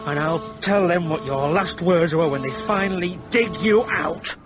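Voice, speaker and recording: A man's voice: on the high side, measured pace, over music, close to an everyday voice. An actor playing an irritable, stubborn, panicky suit-and-tie man stranded in snow, testy and sarcastic, in a radio horror drama. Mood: angry, scornful.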